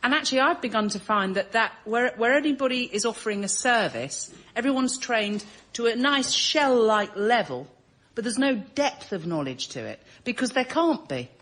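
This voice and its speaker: A woman's voice: a little high, expressive, normal speed, a bit bright. A woman complaining comically as a panel show guest in a conversational, wry, exasperated way.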